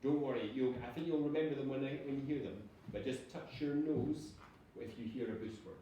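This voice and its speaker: A man, a teacher, reading a storybook aloud to children in a dramatic, drawn-out way. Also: mid-range voice, dark tone. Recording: echoey room, distant mic, background noise.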